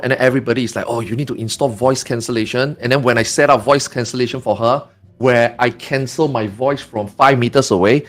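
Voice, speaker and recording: A man chatting as a guest on a gaming podcast, talking loud and rambling with many restarts. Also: mid-range voice. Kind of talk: casual podcast chat.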